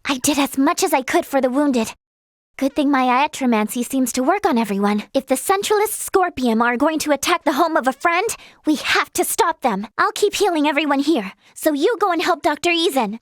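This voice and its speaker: Young female game healer, high pitch, earnest, polite, kind-hearted, softly hesitant, dry studio sound. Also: dry recording. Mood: earnest, determined. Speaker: video game voice actress. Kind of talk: scripted game character dialogue.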